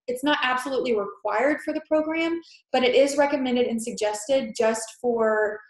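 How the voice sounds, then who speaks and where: A young woman with a stuffy head-cold nose, presenting a fitness webinar over a laptop mic in a chatty, filler-heavy, reassuring way. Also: on the high side. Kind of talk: casual sales presentation. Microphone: laptop mic on a video call. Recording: echoey room on a video call.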